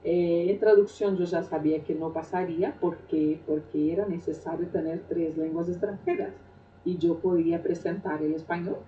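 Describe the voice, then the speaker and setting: A woman's voice: lowish voice, fairly even intonation, a little hiss or hum. Brief: middle-aged Brazilian woman with a Portuguese accent, reflective storyteller, recorded far from the mic in a dull, echoey room. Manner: unhurried, hesitant with frequent "eh" fillers. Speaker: Spanish teacher telling her life story. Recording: roomy, distant, dull sound.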